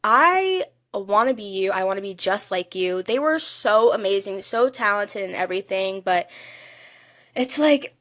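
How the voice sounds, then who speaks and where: Young female podcast co-host, mid pitch, emphatic fan gushing, casual, very dry close sound. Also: lively intonation, a little slow, fairly bright tone, no echo. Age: young adult. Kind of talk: casual chat.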